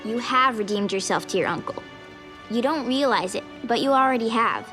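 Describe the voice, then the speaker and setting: A blunt, tough young cartoon girl played by a woman, with a fairly high voice and a frank, caring manner. Clear music plays behind her animated-series dialogue. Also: normal speed, natural voice. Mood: earnest. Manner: frank, plain-spoken.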